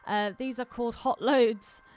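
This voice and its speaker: A woman's voice: a little high, steady tone, measured pace, a little mellow. A woman co-hosting a podcast, chatting casually and reading aloud, giggly and easily sidetracked. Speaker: podcast co-host. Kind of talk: casual chat, reading listener mail aloud.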